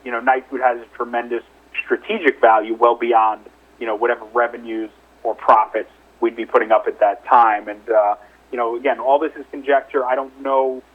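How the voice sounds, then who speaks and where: A man's voice: mid-range voice, level tone, brisk, breathy edge. A male chief executive answering questions on an investor call over the telephone, explaining things in a conversational, hedging way. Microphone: telephone conference call. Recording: narrow, muffled telephone line.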